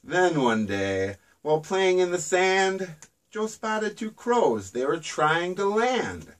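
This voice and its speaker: Slow sing-song man reading a picture book. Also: high-pitched, big pitch swings, distant mic. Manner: sing-song storybook delivery. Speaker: children's book author.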